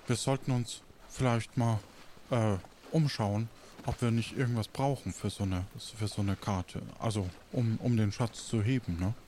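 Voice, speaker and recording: A man hosting an improvised audio play as game master, halting and often ending on tag questions. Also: on the low side, fairly even intonation, mellow, a little hiss or hum.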